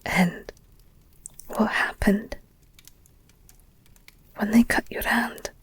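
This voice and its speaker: A woman performing an audio roleplay as a protective, devoted werewolf girlfriend, speaking in an earnest, tender, halting way with a breathy whisper. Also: mid-range voice, steady tone, a bit bright, heavily acted. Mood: hesitant, reassuring.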